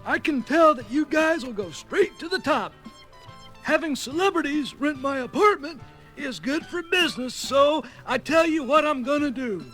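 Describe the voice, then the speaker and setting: An opportunistic landlord for a children's record. He wheedles in an extremely high voice with emphatic salesman patter. Light music plays underneath. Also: animated, normal speed, a bit bright, rough recording. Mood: wheedling. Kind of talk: children's read-along record acting.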